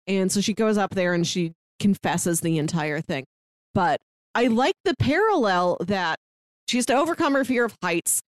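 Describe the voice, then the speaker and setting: A woman's voice: medium pitch, dry recording. A woman co-hosting a podcast, talking casually about film in a chatty, detailed way with playful asides. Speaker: podcast co-host.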